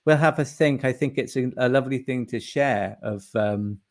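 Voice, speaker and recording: Male host, mid-pitched voice, casual and halting, fumbling for words between questions. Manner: halting, searching for words, with many fillers. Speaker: podcast host. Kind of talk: casual interview.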